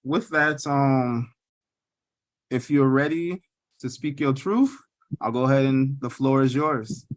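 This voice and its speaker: A man's voice: mid-range voice, slow pace, long pauses, no room sound. A man hosting a podcast casually, laid-back and leaning on repeated filler phrases. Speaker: podcast host.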